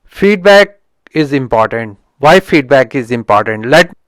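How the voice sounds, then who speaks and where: A man's voice: medium pitch, expressive, dry recording, clean studio sound. A middle-aged man from India with an Indian accent, who learned English as a second language, hosts an unscripted book review and often restarts his sentences. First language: not English. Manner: halting, with frequent restarts and self-corrections. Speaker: channel host reviewing a book.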